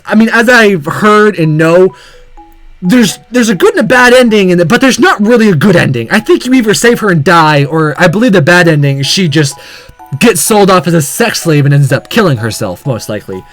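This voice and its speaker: Blunt game commentator with very lively pitch. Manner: blunt, exasperated, halting delivery. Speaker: gaming video host. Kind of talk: casual game commentary, opinionated rant.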